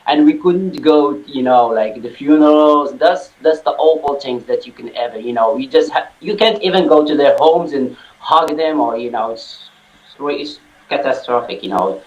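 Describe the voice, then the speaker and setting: A man's voice: medium pitch, lively intonation, moderate pace, a little mellow, reverberant, poor audio. A man from Morocco on a video call, talking heartfeltly and searching for words. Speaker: interviewee.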